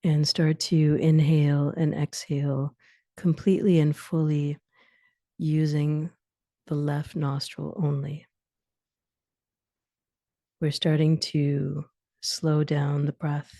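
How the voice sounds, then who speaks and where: A woman guides a sleep meditation in a low, mellow voice with a gravelly creak. She gives soothing instructions in short phrases, leaving long pauses, and her phrase endings turn soft and breathy. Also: level tone, dry recording, studio quality.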